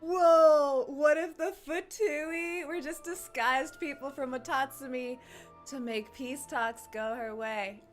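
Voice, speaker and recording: A woman's voice: high voice, slow, soft background music. A woman streamer giving casual, playful live commentary on a game, reacting and teasing as she goes.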